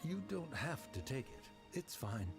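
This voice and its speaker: A man's voice: mid-range voice, very animated, unhurried, gravelly, breathy edge, faint music behind, heavily acted. An actor playing a reserved, formal and hesitant middle-aged father in a video game. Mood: awkward, tender. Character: reserved middle-aged father, stiff, quietly caring and awkward.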